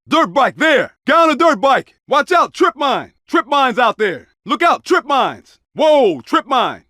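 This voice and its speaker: A man's voice: very high-pitched, bright, forceful tone, no echo, studio quality, exaggerated voice. A man voicing an urgent, alert undercover cop in a video game, giving short, clipped, commanding tactical callouts. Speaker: video game player-character voice.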